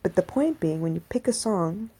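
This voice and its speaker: A woman's voice: lowish voice, lively intonation, moderate pace, warm tone, slightly rough. A woman in her thirties hosting a podcast, talking to listeners in a casual, reflective monologue with asides. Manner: conversational, reflective, with restarts and asides. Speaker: podcast host. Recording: live audio stream from a phone.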